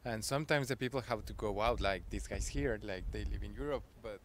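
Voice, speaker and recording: A man's voice: lowish voice, fairly quick, a little hiss or hum. A man from Mexico reflecting in English, often using "like". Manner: reflective, many "like"s.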